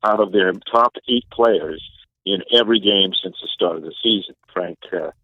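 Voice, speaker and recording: Male sports announcer as interview guest, lowish voice, measured and hesitant analysis, thin phone-quality sound. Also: sharp tone, no echo. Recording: narrow, tinny phone-like line.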